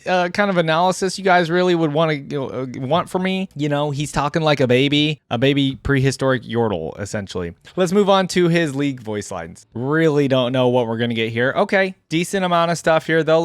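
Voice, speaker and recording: A man's voice: on the high side, clean tone, dry recording. A man giving casual reaction commentary as a video host, musing and thinking aloud as he goes. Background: faint music.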